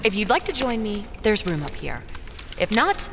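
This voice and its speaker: A woman playing a proud, stubborn expedition leader in an adventure audio drama, speaking in a dry, brisk, commanding and teasing way. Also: mid-range voice, sing-song, noisy background. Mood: indignant, firm.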